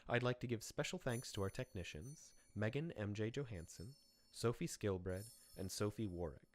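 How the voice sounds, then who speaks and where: Low-voiced man reading radio credits. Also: animated, normal speed. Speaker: announcer reading closing credits. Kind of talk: scripted radio announcement.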